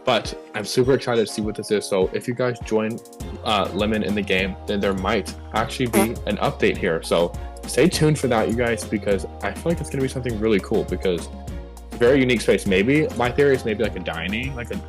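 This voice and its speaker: A man's voice: fairly low pitch, a little fast. A man co-hosting, chatting casually as he guides viewers on a game tour. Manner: chatty, upbeat guide talk. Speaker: co-host giving a game tour. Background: background music.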